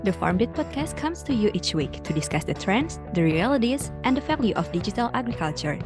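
A woman's voice: medium pitch, very animated, brisk, over music. A woman co-hosting a podcast, reading a scripted intro and then asking the guest questions casually, with many "like"s. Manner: casual, lots of "like" when asking. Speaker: podcast co-host.

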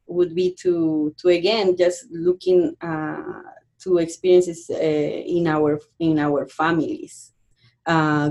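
Low-voiced Peruvian-born woman educator. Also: a little mellow. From Peru. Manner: thoughtful, halting, many fillers and restarts. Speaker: university educator, guest. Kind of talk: podcast interview conversation.

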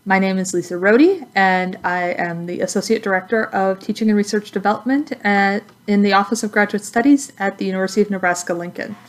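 A woman's voice: medium pitch, a little fast, few pauses. A woman from Texas with an American accent, speaking as an instructor in a friendly, conversational introduction to students. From Texas, United States. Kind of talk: informal course introduction to students.

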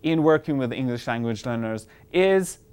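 A man's voice: medium pitch, lively intonation, moderate pace, darkish tone, clean tone. A man whose first language is Hebrew, presenting an upbeat, explanatory screencast tutorial on classroom apps. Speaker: educational technology presenter.

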